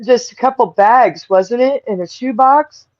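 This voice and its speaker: A woman guest chiming in with quick remarks over a call. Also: fairly high pitch, no echo, lo-fi sound. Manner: chatty, chimes in with quick remarks. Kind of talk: casual chat.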